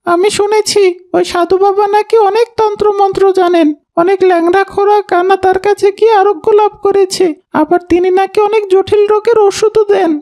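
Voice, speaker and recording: Cartoon manservant, female performer, very shrill and monotone, humble and earnest toward his master. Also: a little fast. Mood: earnest, deferential. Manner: deferential, earnest. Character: loyal, deferential male servant of a landlord. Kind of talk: cartoon voice acting.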